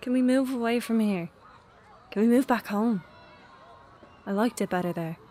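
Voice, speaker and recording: A woman's voice: fairly high pitch, moderate pace, mellow, close to an everyday voice. A woman playing a young schoolboy in an audio drama, sullen and evasive, with terse, matter-of-fact answers. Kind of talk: naturalistic radio drama acting.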